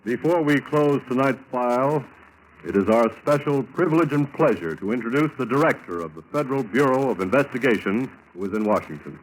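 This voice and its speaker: A man's voice: mid-range voice, animated, fairly quick, slight background noise, poor audio. An American man announcing in a polished, formal 1940s radio style. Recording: old radio broadcast, music behind. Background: music.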